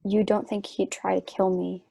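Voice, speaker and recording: A woman playing a sick, trusting wife in a stage play reading, wistful and gently earnest. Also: mid-range voice, little pitch movement, moderate pace, gravelly, slightly rough, dry recording. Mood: weak, worried.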